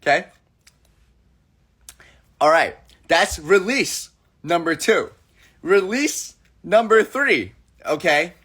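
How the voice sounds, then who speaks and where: A man's voice: high voice, expressive, slow, pauses often, fairly bright tone, husky. A young man hosting a casual livestream chat, playful and acting out the people in his stories. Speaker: livestream host.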